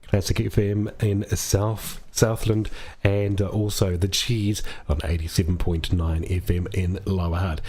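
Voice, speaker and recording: A man's voice: low voice, little pitch movement, unhurried, warm tone. A New Zealand man presenting a music radio show with friendly, chatty DJ patter. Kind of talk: upbeat music-radio DJ links. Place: radio studio. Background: music under the voice.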